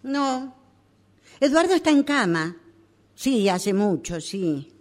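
Actress as long-suffering Argentine wife, high voice. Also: female voice, big pitch swings, slow, a little mellow. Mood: exasperated. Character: exasperated, long-suffering wife of an obsessive man. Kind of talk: radio drama acting.

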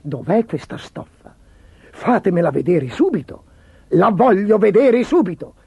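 A man's voice: high-pitched, lively intonation, unhurried, dark tone, no room sound, lo-fi sound, pitched above the performer's own voice. A man voicing a vain, imperious, spoiled storybook emperor in a petulant, commanding, theatrical manner. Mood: impatient. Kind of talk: storybook character voice.

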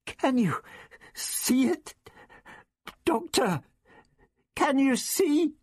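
Man doing a high, frightened young girl. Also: very animated, extremely slow, darkish tone, no room sound, studio quality, exaggerated voice. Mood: panicked. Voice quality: breathy. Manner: pleading, high-pitched urgency. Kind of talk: dramatic science fiction audiobook reading.

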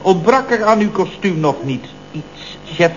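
Fussy, high-voiced office underling. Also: male voice, animated, a little slow. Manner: polite, pedantic, keeps addressing his boss. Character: fussy, earnest male office underling correcting his boss. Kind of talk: 1970s radio comedy acting. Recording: muffled old radio recording, faint noise.

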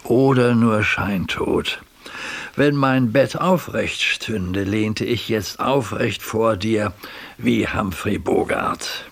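An actor playing a wry, wistful male film lover with a creaky voice, musing drily in in a radio drama. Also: fairly low pitch, heavily acted. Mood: wry, dreamy. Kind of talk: scripted radio drama monologue. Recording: music behind the voice.

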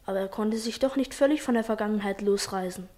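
A woman's voice: mid-range voice, fast talker, darkish tone, close to an everyday voice. A woman playing a thoughtful, confident schoolboy poet in a children's radio play, speaking earnestly and reflectively. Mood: confident, persuasive.

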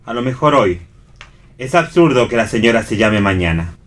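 Low-voiced actor as gentle Argentine man. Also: expressive, moderate pace. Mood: gentle, knowing. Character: Miguel, knowing, gentle male confidant. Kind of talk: radio theatre reading, dramatic dialogue.

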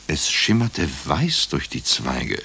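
A man's voice: very deep voice, huge pitch swings, slow pace, gravelly, bright, forceful tone, slight background noise, exaggerated voice. A man acting a doctor turned amateur sleuth in a radio drama, speaking with dry irony. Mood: weary, reflective. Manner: dry, wry, ironic. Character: male doctor, wry amateur sleuth, narrating his own story. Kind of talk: radio drama dialogue and first-person narration.

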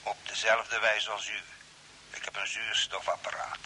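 A man acting in a radio play as a mysterious, calmly insistent Earthman stranded on Mars, speaking in a measured, formal way. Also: fairly low pitch, rough recording. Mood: matter-of-fact. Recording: hissy old radio broadcast, faint noise.

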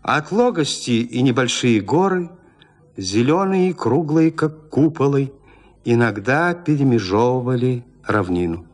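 A male narrator reads classic literature in a mid-pitched voice. His intonation moves up and down in a lively way, with deliberate pauses that set off each phrase. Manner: expressive storytelling with deliberate pauses.

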